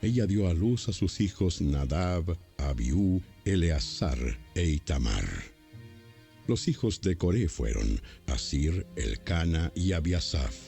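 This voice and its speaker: A man narrating a dramatized audio Bible from a script in a solemn, deliberate way. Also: sits low, lively intonation, vocal fry, warm tone, soft background music.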